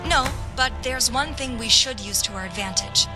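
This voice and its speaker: A woman playing an earnest, proud noble swordswoman and patriot in a video game, speaking in a measured, formal, idealistic way. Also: on the high side, close to an everyday voice. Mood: determined. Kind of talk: video game roleplay dialogue. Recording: music behind the voice.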